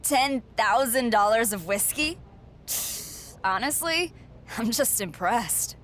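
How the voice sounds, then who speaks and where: A woman's voice: fairly high pitch, expressive, takes it slowly, fairly bright tone, a little hiss or hum. A woman playing a cocky, sarcastic champion wrestler with a snide, self-assured manner in a video game cutscene. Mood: sardonic. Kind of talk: scripted game cutscene dialogue.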